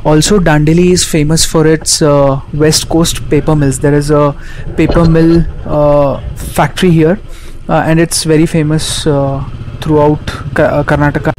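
A young man with an Indian English accent talks over his motorcycle ride, stopping often on "uh" as he picks his next words. His voice sits a little high and holds an even, level tone. Road and wind noise from the helmet camera runs under him. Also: moderate pace, rough recording. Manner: hesitant, frequent "uh" fillers. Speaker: moto-vlogger. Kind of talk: casual travel narration. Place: outdoors on a motorcycle. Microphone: helmet-mounted action camera. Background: road and engine noise.

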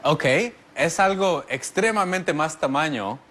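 A man's voice: on the high side, unhurried, a bit bright. Easygoing man. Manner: easygoing, jokes back, describes objects step by step. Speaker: guest. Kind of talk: casual TV interview. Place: large TV studio set. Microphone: lapel. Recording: faint studio noise.

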